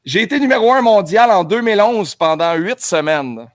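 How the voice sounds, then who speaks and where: Upbeat Québécois male host, higher register, game-show energy. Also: animated. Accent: Québécois French. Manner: upbeat, quick, playful announcer tone. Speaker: podcast host running a golf quiz. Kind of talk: casual chat and quiz hosting.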